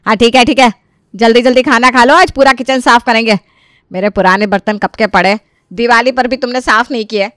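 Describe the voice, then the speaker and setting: A woman's voice: on the high side, fairly even intonation, brisk, fairly bright tone, clean tone, dry recording, clean studio sound, natural voice. A woman voicing a bossy, sarcastic older mother-in-law who scolds and nags in a dramatised story.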